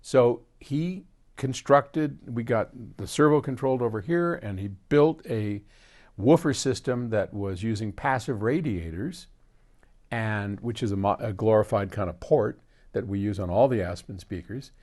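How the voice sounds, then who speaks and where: A man's voice: on the low side, a little slow. An older American man hosting a casual question-and-answer talk, rambling through explanations and anecdotes with frequent digressions. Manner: animated, digressive storyteller who quotes conversations. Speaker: host answering audience questions.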